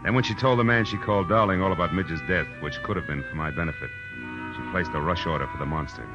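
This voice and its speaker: A low-voiced, sardonic private eye played by a male actor tells his case in clipped, tough phrases. It is old-time radio noir, on a dull vintage recording with music underneath. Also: fast, close to an everyday voice. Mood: tense, brisk. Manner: wry, clipped tough-guy delivery. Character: hard-boiled male private detective, wry and sardonic, narrating his case. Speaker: lead actor and narrator. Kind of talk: 1940s radio noir acting and narration.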